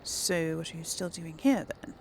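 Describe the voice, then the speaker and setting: A woman's voice: deep voice, moderate pace, a little mellow, slight background noise. A woman hosting a casual game playthrough, chatting and thinking aloud hesitantly as she role-plays dialogue. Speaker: gaming host and role-player.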